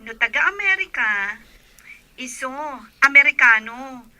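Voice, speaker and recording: An actress with a Filipino accent playing a sweet, respectful young adult daughter in a radio drama. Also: female voice, high voice, animated, unhurried, bright, forceful tone, exaggerated voice. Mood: cheerful.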